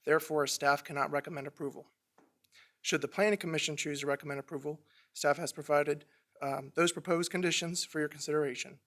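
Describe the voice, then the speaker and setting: Man on planning staff, formal measured report, fairly high-pitched voice. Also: steady tone, fairly bright tone. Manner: measured, reads from prepared text.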